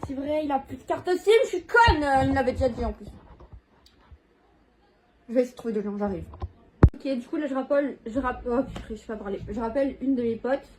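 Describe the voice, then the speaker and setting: High-voiced teenage girl, noisy recording. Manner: exclamatory, swearing, easily exasperated. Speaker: video host making prank calls. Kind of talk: casual slangy vlog chat.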